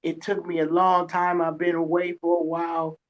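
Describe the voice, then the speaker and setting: A man's voice: fairly high pitch, monotone, moderate pace, muffled recording. A man hosting a radio show, chatting casually in a folksy, colloquial storytelling way. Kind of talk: casual interview chat.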